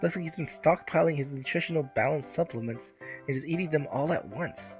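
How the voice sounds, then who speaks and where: A man voicing an earnest, excitable teenage schoolgirl in a visual novel, with wry inner monologue over music. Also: fairly high pitch, fairly quick, natural voice. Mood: musing. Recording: music behind the voice.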